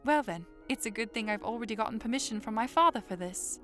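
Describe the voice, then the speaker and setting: An actress playing a young princess who is playful, teasing and self-assured in an audio drama. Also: female voice, on the high side, animated, fast talker, soft background music, natural voice. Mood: confident, teasing.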